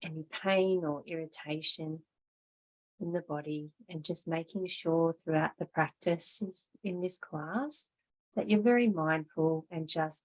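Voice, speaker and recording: A woman yoga teacher gently guiding a meditation class in a measured, instructional way. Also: deep voice, poor audio.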